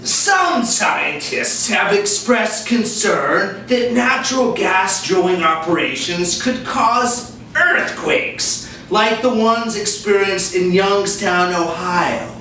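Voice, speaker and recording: A man playing an earnest questioner in a satirical puppet-show interview, reciting warnings with deliberate emphasis. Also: high-pitched, animated, bright, forceful tone, exaggerated voice. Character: earnest questioner reading scientists' warnings to a bureaucrat. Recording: echoey room, distant mic, faint noise.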